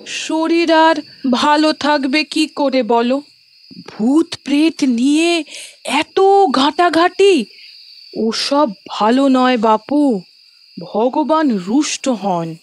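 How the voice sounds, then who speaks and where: An actress playing an elderly, devoted maidservant who frets and grumbles in a folksy, superstitious way in an audio horror drama. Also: female voice, sits high, drawn-out words. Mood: grumbling, worried. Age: older.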